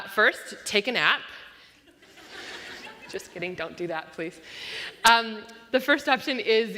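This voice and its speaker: A woman pastor preaching a sermon with an unusually loud voice, talking fast and slipping in self-deprecating jokes. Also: mid-range voice. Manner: self-deprecating joking asides; tends to speak fast. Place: church sanctuary. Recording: long church-hall reverb.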